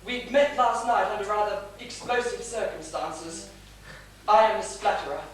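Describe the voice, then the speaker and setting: A woman's voice: mid-range voice, normal speed, lightly acted. A woman playing an anxious, over-apologetic young male clerk in a farcical stage comedy, flustered and stammering as she pleads. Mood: pleading. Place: small theatre stage, far from mic. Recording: distant, echoey, noisy theatre stage recording.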